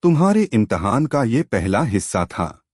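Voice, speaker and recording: A man's voice: mid-range voice, sing-song, normal speed, a little mellow, husky, no room sound, studio quality. A man voicing a proud, taunting wind spirit in a fantasy audio story, theatrical in manner. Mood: challenging.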